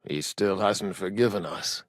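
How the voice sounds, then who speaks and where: An actor playing a cheeky, good-humored medieval rogue and loyal younger brother in a video game, with colloquial, irreverent banter. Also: male voice, sits low, a little slow, no echo, clean studio sound. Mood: excited, friendly. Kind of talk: video game dialogue acting.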